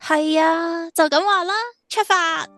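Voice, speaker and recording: An actress playing a sweet, gentle young woman in love in an audio drama, tender and girlish, with a Hong Kong Cantonese accent. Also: extremely high pitch, steady tone, slow pace, full character voice. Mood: cheerful.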